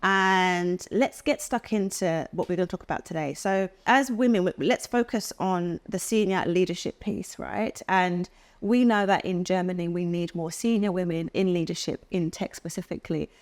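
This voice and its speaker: A woman hosts a podcast conversation, upbeat and encouraging as she sets up questions for her guests. Her voice sits a little low, and she keeps the chat moving with quick affirmations. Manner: upbeat and encouraging, frequent backchannels. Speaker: podcast host. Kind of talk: casual interview chat.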